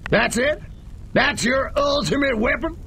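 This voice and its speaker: An actor playing a stubborn, blustering army general in a game cutscene, gruff, scornful and defiant. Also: male voice, very high voice, lively intonation, a little slow, pushed and bright, slight background noise, exaggerated voice. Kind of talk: video game cutscene acting.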